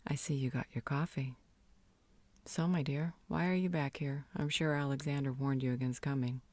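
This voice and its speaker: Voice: mid-range voice, steady tone, moderate pace, darkish tone, slight background noise, close to an everyday voice. A performer playing a knowing, blunt healer in a scripted fantasy audio roleplay, coy and withholding in manner. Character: knowing, cryptic, blunt vampire-world healer.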